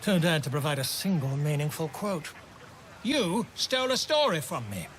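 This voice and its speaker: A man's voice: fairly high pitch, very animated, moderate pace, fairly bright tone, slight background noise. A man voicing a pompous, theatrical author full of self-importance in a fantasy video game. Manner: pompous, florid, declaiming. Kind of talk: video game dramatic acting.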